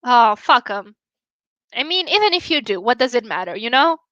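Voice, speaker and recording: Bright-voiced Romanian woman chatting live. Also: fairly high pitch, sing-song, normal speed, no room sound, highs cut off. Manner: chatty and candid, swears casually. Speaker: livestream host. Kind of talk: casual chat with viewers.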